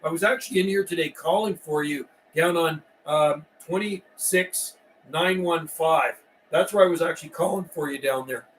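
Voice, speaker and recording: Higher-voiced man on CB, noisy and distant. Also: moderate pace, a bit bright. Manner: repetitive call-outs in CB slang. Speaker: CB radio operator, livestream host. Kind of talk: radio calling and casual chat.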